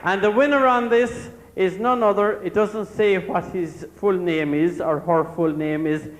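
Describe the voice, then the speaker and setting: A man's voice: high voice, fairly even intonation, unhurried, a little hiss or hum. An Irish man acting as master of ceremonies at an amateur stage show, effusively reading out thanks and names as he projects to the audience. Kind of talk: live stage announcements and thank-you roll call. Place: large indoor theatre hall, on stage. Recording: echoey hall with loud music, live stage video.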